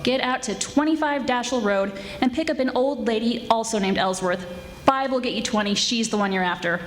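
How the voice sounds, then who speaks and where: A woman playing a witty, tough private detective in a 1940s-style radio drama, with brisk wisecracking narration. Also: fairly high pitch, natural voice. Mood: cool, wry.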